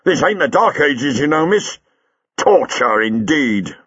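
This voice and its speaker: A man puts on a weary but dogged Victorian police sergeant from the East End, in his vowels. The voice lifts above the narrator's own, with a lively, rising lilt. The audiobook sound is dry and a little muffled. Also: on the high side, measured pace, no echo, voice pushed up, heavily acted. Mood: dogged, patient. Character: stolid middle-aged Victorian police sergeant, patient.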